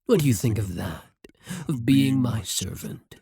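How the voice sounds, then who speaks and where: A performer voicing a haughty, wry demon ruler in an ASMR roleplay, speaking softly and huskily in a slow, condescending, possessive way. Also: mid-range voice, expressive, no room sound, studio quality, full character voice. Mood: possessive, uneasy. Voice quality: soft, breathy, husky.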